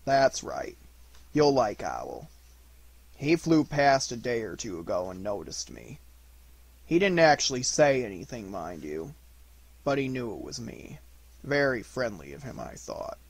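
A man's voice: mid-range voice, sing-song, a little slow, vocal fry, husky, dry recording, voice pushed down, exaggerated voice. A man voicing a gloomy, self-pitying old toy donkey with a mournful, resigned, sardonic manner in a storybook reading.

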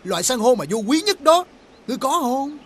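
High-pitched, blustering nobleman. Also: big pitch swings, fairly bright tone, a little hiss or hum, heavily acted. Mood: haughty probing. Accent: Southern Vietnamese. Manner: theatrical, halting with drawn-out hesitations. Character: haughty, blustering male nobleman marquis, sore loser. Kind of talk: costume fairy-tale film acting.

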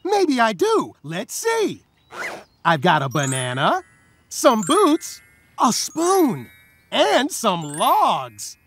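A man's voice: very high voice, wildly swooping pitch, drawn-out words, a bit bright, faint music behind, full character voice. A man voicing a helpful, fretful talking bag in a children's cartoon, with a theatrical, swooping delivery.

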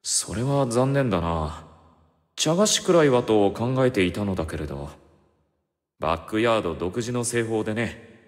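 Man playing a calm, low-voiced, enigmatic mastermind. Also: lightly acted. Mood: gentle, polite. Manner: soft-spoken, measured, formal politeness. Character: calm, courteous, enigmatic male mastermind sorcerer. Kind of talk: anime game voice acting. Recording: long reverb over background music.

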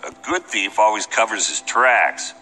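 A man's voice: fairly low pitch, a little slow, sharp tone, a little hiss or hum. An actor playing a mischievous big young guy who is eager to steal, in a video game. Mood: covetous, eager.

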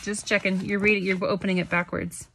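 A woman speaks in a casual, encouraging way, as a parent does when helping a child along. She often prompts with simple questions. Her pitch sits in the middle range for a woman, and she is picked up by a phone in a small bedroom. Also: flat delivery, quick pace, fairly bright tone, a little hiss or hum. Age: adult. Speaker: parent helping a child read and make a video. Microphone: phone at arm's length.

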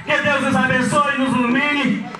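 A man's voice: very high-pitched, little pitch movement, normal speed, noisy background. A young man from Maranhão with a Brazilian accent, giving a declamatory speech outdoors at night, often raising his voice, on a handheld mic. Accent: Brazilian Portuguese, from Maranhão. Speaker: local community leader giving a speech. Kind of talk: outdoor public speech at a community event. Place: outdoors at night on a street, crowd around. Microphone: handheld mic into a PA system. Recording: echoey PA sound from a far camera, loud ambience.

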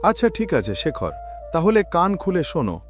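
A man's voice: on the high side, wildly swooping pitch, moderate pace. A man voicing a wealthy village landowner in a moral-story cartoon, with a patronising, authoritative manner. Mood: businesslike. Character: wealthy village landowner employing a poor labourer. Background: background music.

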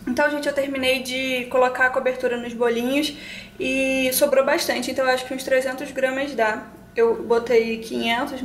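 High-voiced young woman in an echoey kitchen. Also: slight background noise. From Brazil. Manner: chatty, explains each step to viewers. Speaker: cooking vlogger. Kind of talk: casual cooking tutorial. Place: home kitchen, indoors.

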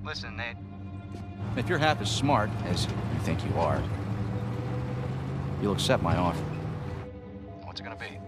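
A man's voice: on the low side, very animated, measured pace, long pauses. An actor playing a smug, cocky male rival who taunts and drawls in a video game cutscene, with film score music behind. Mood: smug taunting.

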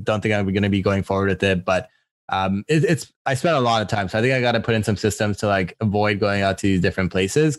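A man co-hosting a real estate podcast in casual chat, quick and filler-heavy with casual swearing. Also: fairly low pitch, no room sound, studio quality. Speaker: podcast co-host and mortgage broker.

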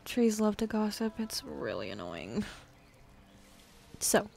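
Woman acting as bossy teasing demon lady, asmr roleplay, slow and gravelly, kinda sardonic. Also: medium pitch, a little mellow. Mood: wry. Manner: dominant, sardonic, drawling, slipping into whispers. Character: bossy, teasing demon woman, easily bored, secretly friendly. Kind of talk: ASMR roleplay, intimate addressed to listener. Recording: faint ambient forest background noise.

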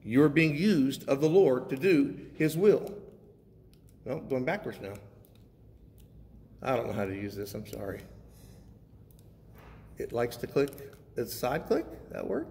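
A man's voice: mid-range voice, animated, unhurried, long pauses, darkish tone. A man preaching a sermon as a guest pastor, reading scripture and explaining it in a conversational, folksy manner with frequent check-ins to his listeners. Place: large echoey room, preaching to a congregation. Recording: echoey hall with faint background noise.

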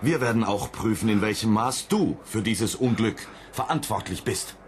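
Low, commanding mission control chief played by a man. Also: expressive, normal speed, background noise, natural voice. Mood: stern, threatening. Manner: commanding, clipped, official. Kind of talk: German radio play acting.

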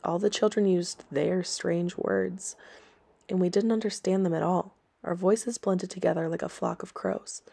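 A woman reading aloud scripted story and history narration for listeners in a measured, plain way. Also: on the low side, creaky, mellow. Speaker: storybook reader and history presenter.

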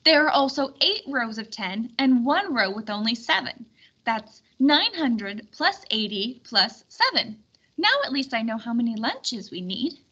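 A woman's voice: on the high side, big pitch swings, a little slow, sharp tone, husky, highs cut off, full character voice. A woman voicing a harried, fretful medieval lady planning a feast in a storybook. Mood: working it out. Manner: exclaiming, fretful.

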